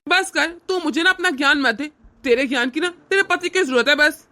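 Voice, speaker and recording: Lady voicing a nasty old cartoon dad, scornful, threatening, pretty high-pitched. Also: brisk, sharp tone. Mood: contemptuous. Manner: scornful, threatening, barking orders. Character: harsh domineering older man, a tyrannical father-in-law. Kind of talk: Hindi cartoon family drama dialogue.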